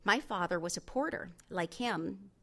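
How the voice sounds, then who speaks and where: A woman voicing a gentle, courteous male lawyer in an audiobook, asking and speaking in a measured way. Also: mid-range voice, expressive, gravelly, full character voice. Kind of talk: audiobook character voice.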